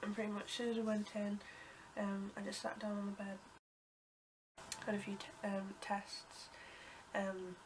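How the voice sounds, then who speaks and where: A woman's voice: medium pitch, completely flat delivery, moderate pace, noisy background. A young woman with a cold and a blocked nose, telling a story to camera in a rambling, casual way with many ums and restarts. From United Kingdom. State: congested with a cold. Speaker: vlogger.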